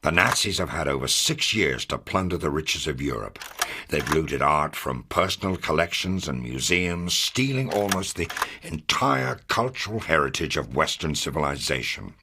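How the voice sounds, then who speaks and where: A man playing a gruff, fatherly, middle-aged American intelligence officer in a wartime video game, grave and authoritative, with a gravelly, husky voice. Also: extremely low pitch, sing-song, normal speed, background noise, heavily acted. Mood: grave, regretful. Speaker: military intelligence officer giving mission briefings. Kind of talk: scripted dramatic video game briefing.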